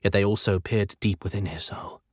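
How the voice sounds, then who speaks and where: A man narrating an audiobook in a theatrical, suspenseful style with deliberate emphasis. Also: on the low side, steady tone, no room sound, clean studio sound. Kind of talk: dramatic scripted fiction narration.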